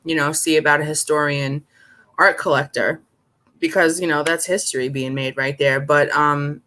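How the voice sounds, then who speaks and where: A woman's voice: sits low, fairly even intonation, moderate pace, pushed and bright. A woman chatting casually in a blunt, informal, slangy way. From New York, United States. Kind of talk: casual chat.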